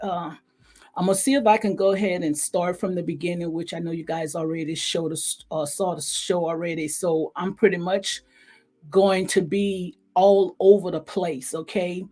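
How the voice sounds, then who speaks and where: A woman with a slightly hoarse voice, giving casual, rambling commentary as the host of a recap show in a conversational, heartfelt, repetitive way. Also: fairly low pitch, fairly even intonation, moderate pace, a little mellow.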